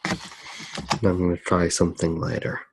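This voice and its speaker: A man with a low, gravelly voice talks softly in an ASMR style while drawing. He muses aloud at a slow pace, hesitating and pausing between thoughts. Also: completely flat delivery, slight background noise. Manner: hesitant, musing, thinking aloud with pauses. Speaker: host of an ASMR drawing channel. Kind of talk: soft-spoken ASMR commentary while drawing.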